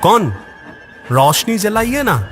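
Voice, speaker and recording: A man's voice: high-pitched, sing-song, slow pace, a bit bright, soft background music, full character voice. A man playing an uneasy, puzzled traveler in a horror cartoon, speaking in a questioning, nervous way. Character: uneasy, puzzled male traveler at night station. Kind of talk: Hindi animated horror story dialogue.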